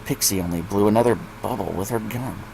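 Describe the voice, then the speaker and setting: Creaky-voiced man reading a story. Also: fairly low pitch, a little fast, a little mellow, slight background noise, rough recording. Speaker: narrator. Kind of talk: expressive fan fiction story reading.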